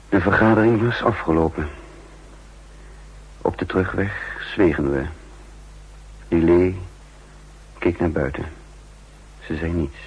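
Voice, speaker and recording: Husky, deep-voiced guilt-ridden double agent. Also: male voice, full character voice. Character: male double agent, intense, guilt-ridden, facing his downfall. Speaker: lead actor and first-person narrator. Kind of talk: 1960s Dutch radio drama acting. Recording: muffled 1960s radio drama recording.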